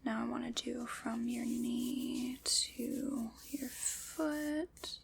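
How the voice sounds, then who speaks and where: A woman whispering breathily in an ASMR roleplay, soft, unhurried, formal and reassuring. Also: on the high side, fairly even intonation, gravelly, background noise.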